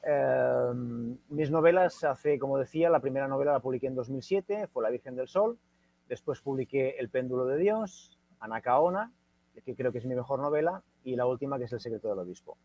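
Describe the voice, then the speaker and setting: An older man from Catalonia, speaking as a guest writer in a casual panel talk, pausing often with fillers and restarts. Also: mid-range voice, animated, gravelly. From Catalonia, Spain. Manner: hesitant, many fillers and restarts. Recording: muffled lo-fi video call.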